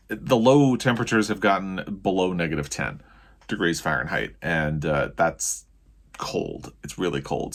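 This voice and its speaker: An American man talking casually as a book vlogger about his reading, rambling and often correcting himself as he goes. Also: sits low.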